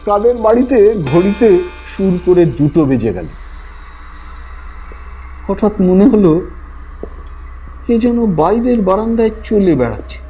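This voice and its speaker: A man's voice: sits high, warm tone, low-quality recording. A man telling a scripted ghost story as a narrator, in a theatrical, suspenseful manner. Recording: music behind the voice. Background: background music.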